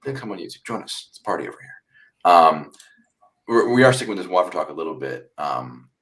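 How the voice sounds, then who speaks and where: A man's voice: deep voice, sing-song, a little fast, fairly bright tone. A man hosting a live football fan stream, upbeat and reading out viewer comments. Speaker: stream host.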